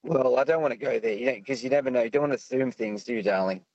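A man's voice: medium pitch, quick pace, darkish tone, husky, no room sound. A man calling a radio show by phone, chatting casually in a camp, familiar, teasing manner. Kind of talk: casual chat. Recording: dull phone call.

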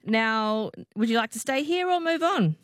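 A woman's voice: fairly high pitch, lively intonation, moderate pace, dry recording, studio quality. A middle-aged woman hosting a casual radio quiz, chatty and friendly, laughing often as she teases callers.

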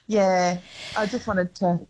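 An Australian woman on a call-in to a radio show, speaking in a middle-pitched voice. She hesitates often and fills gaps with ums. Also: little pitch movement, slow pace, a little mellow, poor audio. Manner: hesitant, many ums, laughs easily. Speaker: caller.

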